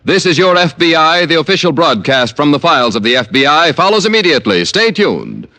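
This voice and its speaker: A man's voice: a little high, sing-song, a little fast, pushed and bright, faint music behind. An American man announcing scripted commercials and credits in 1950s radio style. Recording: old radio broadcast recording.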